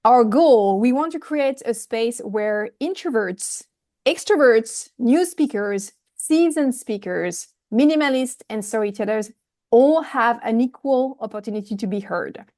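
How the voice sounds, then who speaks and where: A woman with a strong French accent speaking on an online video call, careful and a little halting over her words. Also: a little high, animated, a little mellow. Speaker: volunteer timekeeper at a group meeting. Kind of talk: reading a prepared announcement aloud.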